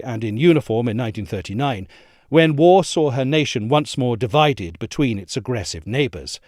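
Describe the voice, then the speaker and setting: A man giving a measured, articulate scripted narration of a history essay. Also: mid-range voice, a little mellow, dry recording, studio quality. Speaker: essay reader.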